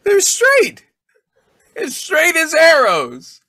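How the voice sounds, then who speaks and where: Man acting an overwrought father, bright swooping voice. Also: extremely high pitch, wildly swooping pitch, dry recording, exaggerated voice. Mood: astonished. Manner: halting, emotional, repeats himself. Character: overwrought, tearful, grateful young father. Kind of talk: old-time radio drama acting.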